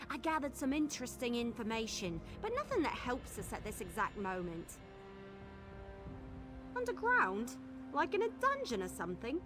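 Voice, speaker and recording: An actress playing a stubborn, adventurous scout companion in a video game, talking in a wry, confident manner. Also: female voice, on the high side. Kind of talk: video game character acting. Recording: game music under the voice.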